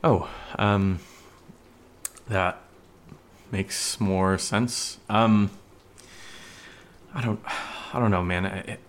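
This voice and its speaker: A man's voice: low-pitched, fairly even intonation, drawn-out words, long pauses. An actor playing a nervous young man who rambles and stammers through a spooky story in a horror audio drama. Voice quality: hesitant, stammering, rambling with many fillers.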